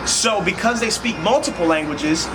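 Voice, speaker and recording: A man's voice: high-pitched, expressive, moderate pace, recorded from a distance, background noise. A man hosting a casual vlog, playful and chatty, recapping for his viewers and egging on his guest. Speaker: vlog host. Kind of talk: casual chat.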